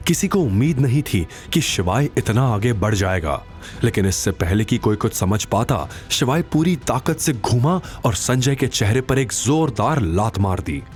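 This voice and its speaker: A man's voice: fairly low pitch, a little mellow. A man narrating a scripted audio story in a dramatic storytelling style. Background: faint background music.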